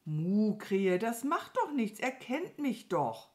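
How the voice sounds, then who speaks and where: A woman voicing a carefree, good-natured, childlike cow in a children's story, with a naive and unhurried manner. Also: medium pitch, very animated, pitched above the performer's own voice. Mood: gently pleading. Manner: naive, unhurried, moos before speaking.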